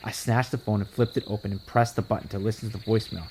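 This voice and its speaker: A man narrating a first-person horror story in a casual, expressive, conversational way. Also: on the low side, quick pace, slight background noise, rough recording. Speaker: creepypasta narrator. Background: music under the voice.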